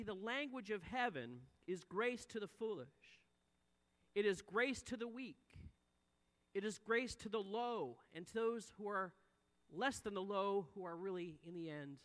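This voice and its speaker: A man's voice: high-pitched, lively intonation, measured pace, fairly bright tone. A man in his forties preaching a sermon, earnest, swelling and dropping his volume for emphasis. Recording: long hall reverb.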